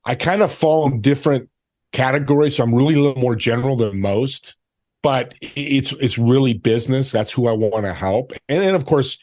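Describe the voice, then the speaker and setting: A man's voice: mid-range voice, darkish tone, no echo. A man with a mid-range voice gives conversational interview answers. He is plain-spoken and tends to list his points. The recording is very dry. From United States. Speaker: podcast host being interviewed.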